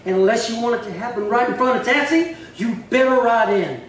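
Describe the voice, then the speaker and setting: A very high-voiced, vengeful gunslinger played by a man in a western radio play. He taunts and threatens, heard at a distance in a very echoey space. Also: a little fast. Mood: cold threat. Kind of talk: old-time western radio acting. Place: live theatre stage performance. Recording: roomy, distant stage pickup with faint noise.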